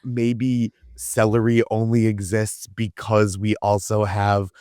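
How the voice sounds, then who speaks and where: A man's voice: on the low side, little pitch movement, slow, mellow, clean tone, no echo, clean studio sound. An American man chatting casually and comically as a panelist on a news comedy podcast. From United States.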